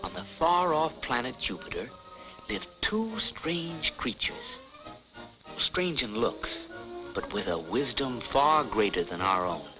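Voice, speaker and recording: A man's voice: mid-range voice, big pitch swings, takes it slowly. A man playing a whimsical storybook narrator for 1950s television, mock-solemn and theatrical. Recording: dull vintage 1950s TV soundtrack. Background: loud music.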